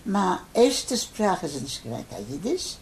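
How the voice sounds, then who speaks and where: An older man who grew up in Poland, his first language Polish, answering interview questions haltingly with many pauses and fillers. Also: sits high, sing-song, vocal fry. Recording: faint background noise.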